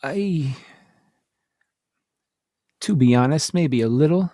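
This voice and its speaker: A man's voice: on the high side, animated, slow, dark tone, dry recording, clean studio sound, exaggerated voice. A man playing an earnest, boyishly romantic starship captain who stammers sheepishly in a comedic sci-fi radio play. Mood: sincere, halting. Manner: stammering, throat-clearing, sheepish.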